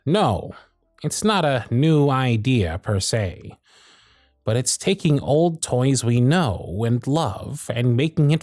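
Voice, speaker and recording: A man's voice: mid-range voice, darkish tone, no echo, studio quality. A man playing a proud, sardonic but protective supervisor in a scripted ASMR roleplay, with theatrical, exasperated phrasing. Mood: firm, confident. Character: proud, sardonic male workshop supervisor, protective of his intern. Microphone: close studio mic, dry.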